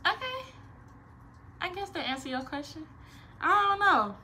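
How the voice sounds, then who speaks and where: Lively, bright-voiced young woman vlogging. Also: extremely high pitch, measured pace, a little hiss or hum. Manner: animated, slangy, playful, expressive hand gestures. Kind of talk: casual Q&A vlog. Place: small bedroom.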